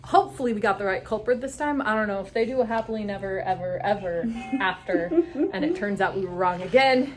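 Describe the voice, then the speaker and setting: A woman's voice: mid-range voice, quick pace, faint music behind. A woman chatting enthusiastically and casually as the host of a group recap vlog.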